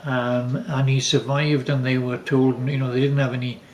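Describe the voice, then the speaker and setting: An older man telling family stories casually, rambling and halting with repeated false starts. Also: medium pitch, little pitch movement, darkish tone. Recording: echoey room.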